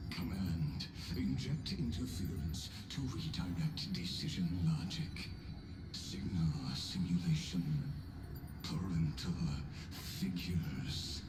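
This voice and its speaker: A man voicing a cold, calculating machine overlord in a video game, clipped, clinical and deliberate. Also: low-pitched, lively intonation. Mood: cold, menacing. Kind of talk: video game villain acting. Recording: echoey with music behind.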